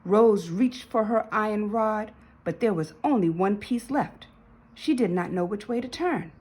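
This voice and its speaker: A woman's voice: mid-range voice, a little slow, darkish tone, husky. A woman reading a children's storybook aloud as narrator, in a dramatic, emphatic storytelling manner. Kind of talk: expressive children's read-aloud.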